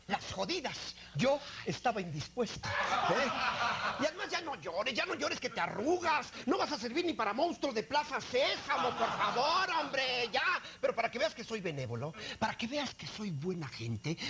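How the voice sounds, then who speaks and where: A man playing a scornful high-voiced TV boss. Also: big pitch swings, moderate pace, a crowd in the background, full character voice. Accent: Mexican. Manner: mocking, bossy insults. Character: arrogant newly rich male TV network boss, scornful. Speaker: comedy sketch actor. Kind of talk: sketch comedy acting.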